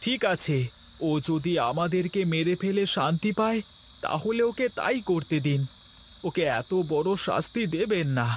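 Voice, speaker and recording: A man voicing a timid, kind-hearted husband in a cartoon story, with a pleading, high-strung manner. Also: lively intonation, moderate pace, slight background noise. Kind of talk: Bengali cartoon story voice acting.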